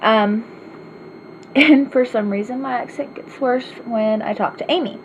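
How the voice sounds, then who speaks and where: A woman's voice: a little high, measured pace. A woman with an Arkansas accent, chatting casually and rambling in a self-deprecating way in a home vlog. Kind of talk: casual vlog answering questions. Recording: slightly dull home recording with faint hiss.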